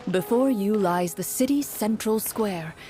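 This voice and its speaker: A woman playing a game master who narrates and voices an anxious, pleading townsperson in an expressive, earnest way. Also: medium pitch, measured pace, close to an everyday voice. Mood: narrating, suspenseful. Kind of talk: tabletop story narration and dramatic role-play. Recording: music behind the voice. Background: game music.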